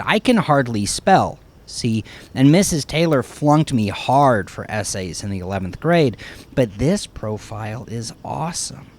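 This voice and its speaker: A man's voice: medium pitch, darkish tone. A man narrating a first-person story expressively, acting out the storyteller's reactions as he goes. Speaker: author narrating own horror story.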